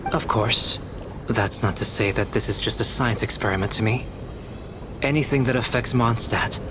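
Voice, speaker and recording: An actor playing a calm, erudite young alchemist in a video game, speaking in a measured, formal, precise way. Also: male voice, lowish voice, background noise, close to an everyday voice. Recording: music behind the voice.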